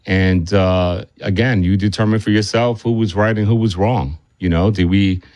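A man's voice: lowish voice, steady tone, moderate pace, a little mellow, dry recording, clean studio sound. A man speaking conversationally as a film director being interviewed, often circling back and repeating himself.

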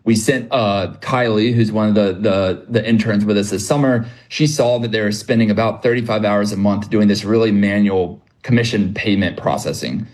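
Young man, interview guest, earnest explainer, slightly low voice. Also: moderate pace, few pauses, darkish tone. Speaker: guest, business owner.

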